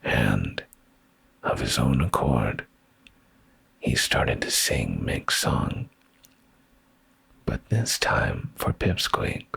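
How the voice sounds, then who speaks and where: A man in an intimate boyfriend ASMR bedtime style, with a deep, gravelly, breathy voice, hushed and soothing, often slipping into whispers close to the microphone. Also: sing-song, very slow, long pauses, no room sound. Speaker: ASMR streamer reading bedtime stories.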